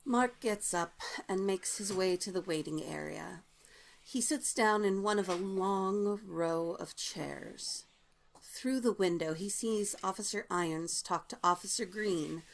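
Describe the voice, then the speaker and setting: A woman's voice: medium pitch, slow pace, vocal fry, slight background noise. A woman reading stage directions as scripted narration for a table read.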